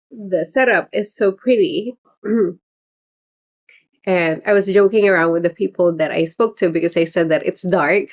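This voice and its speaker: A woman's voice: medium pitch, darkish tone. A middle-aged woman hosting a casual livestream as a political candidate, talking in a chatty, rambling way with lots of fillers and little laughs. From Philippines heritage.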